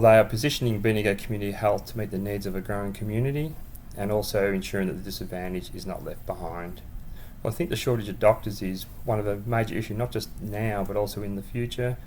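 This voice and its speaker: An Australian man with a low voice answers questions in a plain, steady way and often opens his replies with "well". A faint background noise sits under his speech. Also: fast talker. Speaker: new board member. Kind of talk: self-introduction answering questions.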